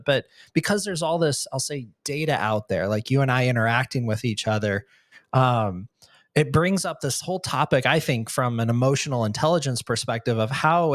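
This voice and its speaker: A man's voice: mid-range voice, a little fast, no echo. Male podcast host, rambling questions, frequent restarts, dry close sound. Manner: rambling, self-interrupting questions. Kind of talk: casual interview chat.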